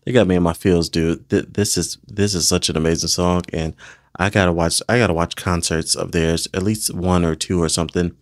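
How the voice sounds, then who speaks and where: A man giving casual, gushing reaction commentary in slangy, excited language as he hosts a video. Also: low-pitched, fairly quick, no room sound, clean studio sound.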